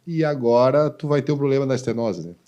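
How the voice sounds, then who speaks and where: A man hosting a podcast, chatting casually and adding quick remarks. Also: medium pitch, dark tone, dull audio. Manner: chatty, laughing along and adding quips.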